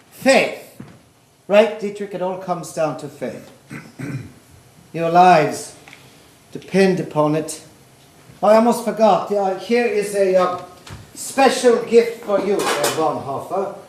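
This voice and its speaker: Male actor as theatrical Nazi police captain: high-pitched, mocking, slow and deliberate, echoey stage sound. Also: animated. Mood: smug. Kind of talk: stage play acting. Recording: echoey stage recording with noise.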